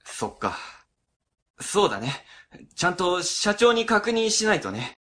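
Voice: mid-range voice, very animated, measured pace, a bit bright. An actor playing an anxious, placating government section chief in a radio drama, speaking in a hesitant, conciliatory way. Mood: troubled. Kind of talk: web radio drama acting.

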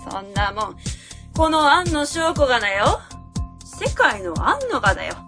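A woman's voice: high voice, sing-song, normal speed, music in the background. A woman playing a haughty, self-important celebrity consultant in a web radio drama, brusque and condescending. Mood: haughty, dismissive.

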